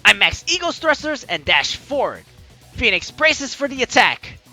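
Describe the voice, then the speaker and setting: A woman hosting a let's play, chatty and excitable, reading game narration aloud with drama and commenting as she goes. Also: sits high, normal speed. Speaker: gaming video host. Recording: game music behind the voice. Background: game music.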